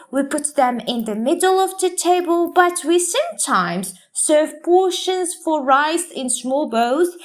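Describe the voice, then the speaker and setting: A woman English teacher reading a practice passage aloud for learners, enunciating deliberately word by word. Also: sits high.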